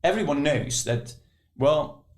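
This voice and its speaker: A man's voice: a little high, expressive, slow pace. A man with a Norwegian accent, co-hosting a conversational podcast, speaking hesitantly with frequent fillers. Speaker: podcast co-host.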